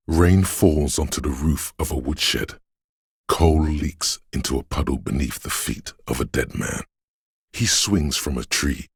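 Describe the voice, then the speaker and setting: A man voicing a brooding, omniscient inner voice of a city in a video game, narrating gravely and poetically in a measured, husky, gravelly voice. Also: extremely low pitch, dry recording, clean studio sound, exaggerated voice. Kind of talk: slow literary second-person game narration.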